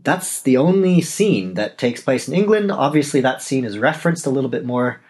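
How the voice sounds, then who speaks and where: Canadian guy in his 30s rambling on his podcast, mid pitch, keeps backtracking and correcting himself. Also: animated, normal speed, a little mellow. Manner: chatty, digressive, with self-corrections. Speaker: podcast host and novelist. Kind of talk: casual solo podcast talk.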